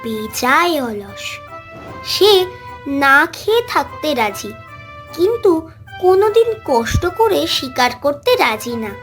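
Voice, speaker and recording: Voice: high voice, very animated, takes it slowly, a bit bright, soft background music. A storyteller giving scripted narration for a children's cartoon tale.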